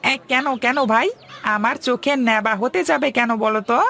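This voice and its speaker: A woman as a creaky-voiced, eager cartoon servant man. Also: fairly high pitch, normal speed, sharp tone, slight background noise. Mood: flustered. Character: obliging, easily flustered male household servant. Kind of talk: cartoon voice acting.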